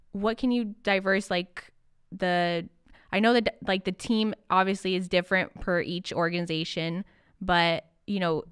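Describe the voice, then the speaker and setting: A young woman interviewer asking questions casually, with informal, halting phrasing. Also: mid-range voice, fairly bright tone, smooth, dry recording, studio quality. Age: young adult.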